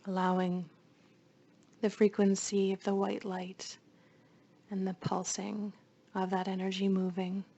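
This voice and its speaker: A woman leading a guided meditation in a soothing, hushed voice, with phrases often trailing into breath. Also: mid-range voice, gravelly, muffled recording, a little hiss or hum.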